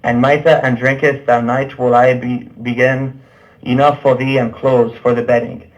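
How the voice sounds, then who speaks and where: A man reads Middle English verse aloud for a puppet show. His voice sits at an average pitch, and he trips over the archaic words. The sound is dull and muffled. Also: flat delivery, normal speed.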